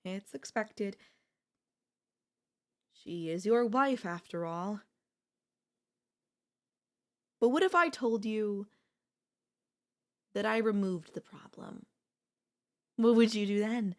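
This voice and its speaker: A woman playing an obsessive, possessive sister-in-law in an intimate ASMR audio roleplay, coolly confessing her love with deliberate pauses. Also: mid-range voice, a little mellow, close to an everyday voice. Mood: coldly menacing. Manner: slow, deliberate, with long pauses.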